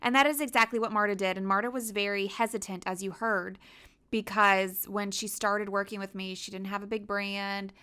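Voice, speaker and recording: Bright, crisp-voiced woman podcast host. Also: mid-range voice, fairly even intonation, moderate pace. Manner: enthusiastic, promotional. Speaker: podcast host and media coach. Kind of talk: upbeat podcast interview.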